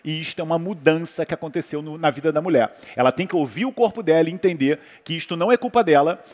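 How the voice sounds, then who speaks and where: A man's voice: a little high, quick pace, a little mellow. A man from Brazil with a Brazilian Portuguese accent, a physician talking casually on a panel, rambling with lots of fillers and restarts. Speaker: physician guest speaker. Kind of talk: conversational talk on a panel. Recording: hall reverb.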